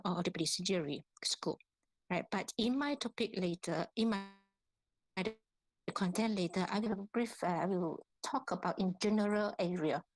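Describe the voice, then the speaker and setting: A woman with a Malaysian accent lecturing at an online workshop as an academic, in a halting way, often repeating and rephrasing herself. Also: mid-range voice. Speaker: academic researcher, guest lecturer.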